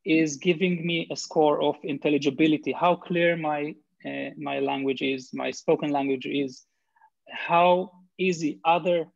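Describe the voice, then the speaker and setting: Israeli man with a Hebrew accent, gravelly. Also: on the high side, no room sound. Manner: hesitant, frequent fillers and restarts. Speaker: company founder presenting. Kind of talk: video call presentation and answers. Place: home room with bookshelves. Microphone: laptop video call. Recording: muffled video call audio.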